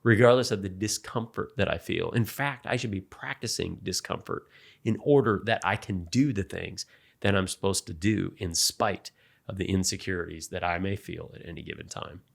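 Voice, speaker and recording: A man in his late forties talking about his insecurities in an earnest, halting way, often restarting his sentences. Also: low-pitched, gravelly, breathy edge. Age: 40s. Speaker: podcast co-host. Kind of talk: reflective faith talk.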